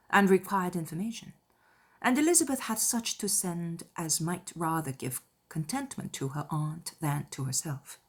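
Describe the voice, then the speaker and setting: A woman narrating a classic novel as an audiobook, in a measured, formal, scripted style. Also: on the low side, husky.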